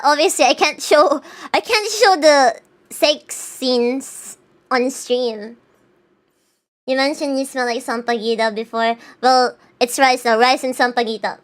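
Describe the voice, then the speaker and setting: A woman's voice: high-pitched, a bit bright. A young woman streamer chatting casually with her viewers, answering chat with a chatty, self-mocking manner. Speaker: VTuber streamer. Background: faint background music.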